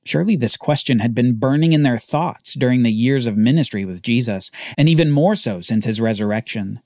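A man hosting a podcast, telling a Bible story as scripted narration with dramatic pauses. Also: medium pitch, animated, darkish tone, no room sound, clean studio sound.

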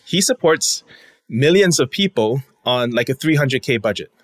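A man's voice: medium pitch, expressive, normal speed. A man from Singapore in his thirties, talking as a podcast guest in a reflective, casual way with light laughs. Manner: reflective, laughs between points. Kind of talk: casual interview chat.

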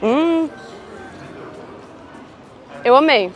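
A woman's voice: high voice, lively intonation, extremely slow, soft background music. A young woman, chatting casually in a travel vlog and describing how the food tastes. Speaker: vlogger.

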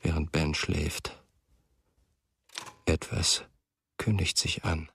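An actor playing a brooding, haunted writer in a radio drama, gravelly and halting, speaking an introspective monologue. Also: male voice, very deep voice, a bit bright, exaggerated voice. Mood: melancholy, brooding. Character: brooding male writer haunted by memories and longing.

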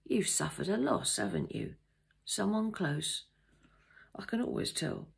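A pompous, talkative older man in an audiobook story, acted out by a woman. He blusters in a creaky, husky voice, with a patronising, colloquial manner and little tact. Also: medium pitch, very animated, unhurried, pitched above the performer's own voice, heavily acted. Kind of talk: audiobook character dialogue.

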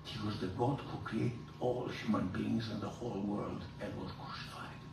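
Older priest lecturing in an echoey hall. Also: male voice, normal speed, gravelly, noisy background. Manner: animated, gesturing, emphatic rises in pitch. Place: large room with hard walls. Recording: roomy hall echo, from far off.

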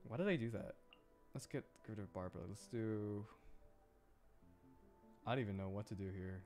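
A man's voice: fairly low pitch, moderate pace, dark tone, faint music behind. A man chatting casually on a game livestream, rambling and often repeating his words as he reacts to viewers. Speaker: game streamer.

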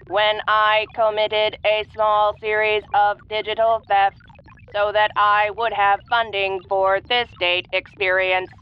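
A woman's voice: medium pitch, clear, resonant tone, dull audio, close to an everyday voice. A woman playing a naive, literal-minded female AI with a formal, stilted, emotionless manner in an audio roleplay. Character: naive, literal-minded young female artificial intelligence on a date.

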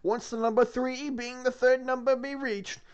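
A reader voicing a pompous male monk who reads scripture aloud in a slow, solemn, sing-song intonation. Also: high-pitched, flat delivery, smooth, pitched above the performer's own voice, lightly acted.

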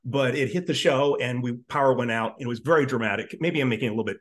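A man in his fifties hosts a casual chat. His voice is of average pitch. He speaks earnestly and effusively, often saying a word several times over. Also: quick pace. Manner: effusive, earnest, repeats words. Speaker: podcast host, interviewer. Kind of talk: casual, conversational hosting. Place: living room at home, on a video call.

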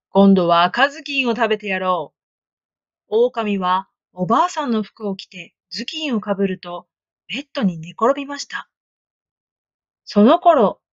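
A woman narrating a children's storybook aloud, clear, gentle and expressive. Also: on the high side, no room sound, lo-fi sound.

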